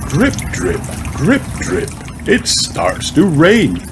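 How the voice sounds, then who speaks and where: A man reading a children's storybook aloud as narrator, in an expressive, deliberate, child-directed style. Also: fairly high pitch, heavy background noise. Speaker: children's book reader. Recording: music behind the voice. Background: music.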